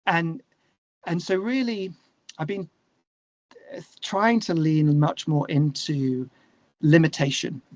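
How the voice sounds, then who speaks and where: Slightly high-voiced man, muffled roomy sound. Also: steady tone, takes it slowly, pauses often, darkish tone. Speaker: interview guest, musician and songwriter. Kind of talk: casual conversational interview.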